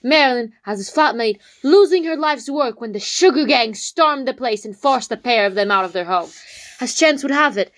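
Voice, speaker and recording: A woman playing a confident young detective in an amateur comedy whodunnit, explaining with dramatic pauses. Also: on the high side, expressive, a bit bright. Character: confident young detective laying out a murder case.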